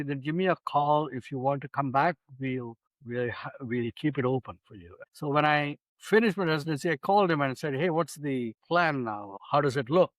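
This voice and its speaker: A man in his sixties from India, a physician guest telling his story in an unhurried, reflective way in a studio interview. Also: fairly high pitch, a bit bright, dry recording, rough recording. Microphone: studio microphone.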